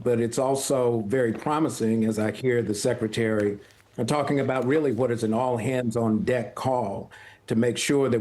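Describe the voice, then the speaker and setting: A man gives analysis as a guest on a news broadcast. His mid-range voice is measured and deliberate, and he often frames his points as questions. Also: mid-range voice, a little mellow. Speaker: news guest, former air traffic controller. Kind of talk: live news interview analysis.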